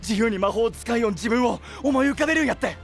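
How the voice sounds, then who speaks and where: A man's voice: very high voice, expressive, fast, creaky, faint music behind, heavily acted. A man playing an earnest, encouraging young knight in a voice drama, heartfelt and pleading, with an Oita dialect from western Japan. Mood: anxious, determined. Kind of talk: anime-style voice drama acting.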